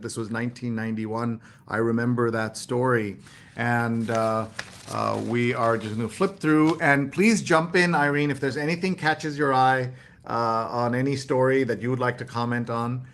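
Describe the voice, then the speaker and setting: A man hosting a live newspaper read-along, reading headlines aloud and commenting casually in a halting, think-aloud way. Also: mid-range voice, flat delivery, fairly bright tone.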